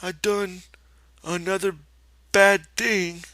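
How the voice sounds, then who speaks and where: A man voicing a simple-minded giant farmhand who pleads in a high, strained wail with a rural drawl. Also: little pitch movement, slow, pushed and bright, dry recording, full character voice. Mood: pleading.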